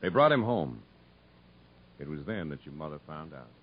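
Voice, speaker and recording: A man's voice: a bass voice, very animated, normal speed, slight background noise. An actor playing a storyteller recounting a family's past to a boy, in folksy 1950s radio drama narration. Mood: reflective. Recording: muffled 1950s radio broadcast with music.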